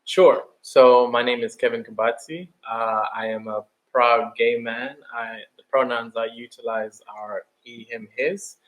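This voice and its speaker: A man's voice: mid-range voice, fairly even intonation, unhurried, few pauses, poor audio. A man from Uganda speaking earnestly as a guest in a panel discussion.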